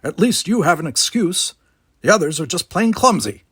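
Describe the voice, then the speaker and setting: A grumpy little old gardener, played by a man reading a storybook aloud, speaks in a raised pitch. He is nosy and blunt, barking his words out with heavy emphasis. The sound is muffled in clarity and very close and dry. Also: big pitch swings, moderate pace, a bit bright, husky, no echo, exaggerated voice. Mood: grumpy, probing. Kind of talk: children's novel read-aloud character voice.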